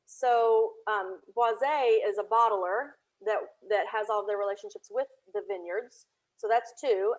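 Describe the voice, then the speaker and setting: A woman from Tennessee speaking as an interview guest, telling anecdotes in a chatty, conversational and animated way. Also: mid-range voice, poor audio. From Tennessee, United States. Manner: chatty, animated anecdotes with laughter and reenacted dialogue. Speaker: interview guest, audio drama creator.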